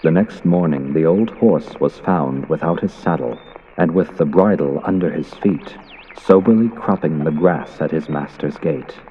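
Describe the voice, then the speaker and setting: A man's voice: a bass voice, unhurried, a little hiss or hum. A man narrating a scripted story in the theatrical, suspenseful style of classic radio horror drama, with emphatic delivery. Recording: dull lo-fi audio under loud sound effects. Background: loud sound effects of ambience and horse hooves.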